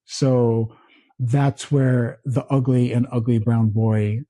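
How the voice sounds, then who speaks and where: A man from the Philippines, his first language Tagalog, co-hosting a podcast in casual chat, talking slowly and halting with many restarts. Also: medium pitch, steady tone, warm tone, no echo. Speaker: podcast co-host.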